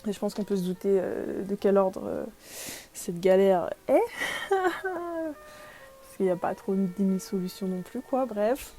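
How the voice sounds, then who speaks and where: A woman's voice: mid-range voice, very animated, a little slow, warm tone, faint music behind. A young woman from France chatting casually in a podcast-style vlog, self-deprecating, with many fillers and small laughs. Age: young adult. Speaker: vlogger.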